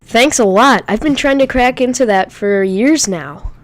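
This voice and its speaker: A woman acts out a teenage boy speedster with a fairly high voice. He is chatty, in the manner of amateur audio drama. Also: a little slow, natural voice.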